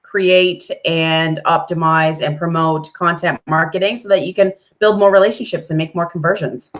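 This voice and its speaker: A woman co-hosting a casual live chat, her voice husky as she gets over a chesty cough. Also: lowish voice, fairly even intonation, moderate pace. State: recovering from bronchitis with a cough. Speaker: co-host of a business live show. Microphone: live video call. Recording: muffled, lo-fi video stream.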